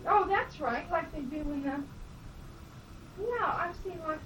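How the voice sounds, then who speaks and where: A woman with a high, childlike voice chats away, asking one curious question after another and filling the gaps with little interjections. The mic sits some distance from her, and steady background noise runs under everything. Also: measured pace. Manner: chatty, curious, lots of interjections. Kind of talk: casual chat.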